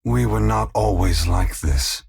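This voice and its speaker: An actor playing a weary, compassionate god in a video game, speaking in a grave, measured, formal way with a gravelly, husky voice. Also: male voice, on the low side, darkish tone, exaggerated voice. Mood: solemn, resolute. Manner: slow, measured, philosophical, formal. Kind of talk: video game voice acting, dramatic dialogue.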